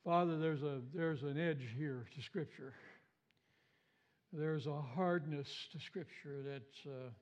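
A man's voice: a little high, slow, darkish tone, lo-fi sound. A man preaching an Easter sermon as pastor, with a big voice, emphatic and repeating his phrases. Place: church sanctuary.

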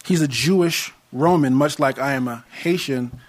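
A young American man preaching a sermon in a measured, earnest way, with touches of light humor. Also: mid-range voice. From United States.

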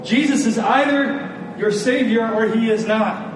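High-voiced male preacher in a roomy space. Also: measured pace. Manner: earnest, emphatic, repeats key phrases. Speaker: pastor preaching to his church. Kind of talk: sermon. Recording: roomy, distant mic, faint noise.

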